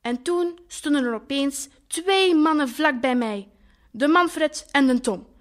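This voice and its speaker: Woman doing a wistful little talking flower, flemish accent, high voice, takes her time, audio drama monologue. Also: slow pace, soft background music. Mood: earnest, bewildered. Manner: colloquial, expressive, lingering over words. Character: talking poppy flower, wistful, naive, female storyteller of war.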